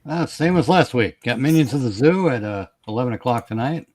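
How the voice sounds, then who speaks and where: A man's voice: mid-range voice, lively intonation, brisk, a little mellow, no room sound. A man chatting casually as a panelist on a livestream, informal and given to blunt exclamations. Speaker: panelist and streamer. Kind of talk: casual chat.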